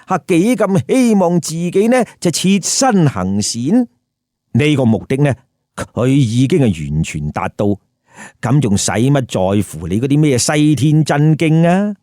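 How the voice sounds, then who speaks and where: A man's voice: a little high, very animated, unhurried, dark tone, no echo, clean studio sound. A man with a Hong Kong Cantonese accent telling a story with colloquial, emphatic commentary and rhetorical questions. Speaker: storyteller host.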